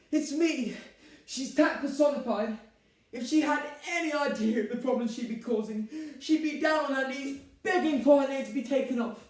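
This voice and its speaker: Man as shrill bride-to-be, stage monologue, insistent, scolding, swooping pitch, strong room echo. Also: a little mellow, exaggerated voice. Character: demanding, exasperated bride-to-be arguing with her fiancé. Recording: echoey room, distant microphone.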